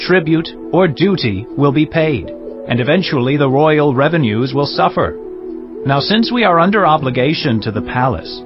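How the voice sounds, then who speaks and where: A man playing a stern Persian provincial official in a dramatized scripture reading, speaking formally and declaiming his accusations. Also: fairly high pitch. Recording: dull audio with loud music behind. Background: music.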